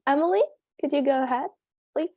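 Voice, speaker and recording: A woman's voice: high voice, fairly quick, dark tone, no room sound. A sixteen-year-old girl from Belgium hosting an online meeting, talking hesitantly with many fillers. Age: teenager, sixteen. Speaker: meeting host presenting slides. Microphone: video call.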